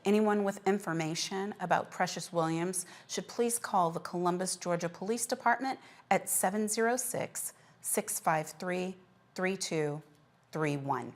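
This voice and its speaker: A woman news anchor reading scripted news in a measured, formal delivery. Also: lowish voice.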